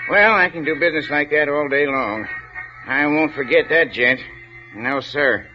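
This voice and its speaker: High-voiced excitable street fellow, played by a man, old radio. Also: normal speed, lightly acted. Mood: baffled, pleased. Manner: excitable, folksy, stammering. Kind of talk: 1940s radio drama acting. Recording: muffled old radio with loud music.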